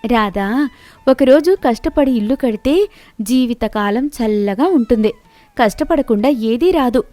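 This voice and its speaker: A woman's voice: a little high, animated, unhurried, warm tone, dry recording. A woman voicing a kind, hardworking young daughter-in-law in a village moral story, earnest and respectful. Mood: encouraging.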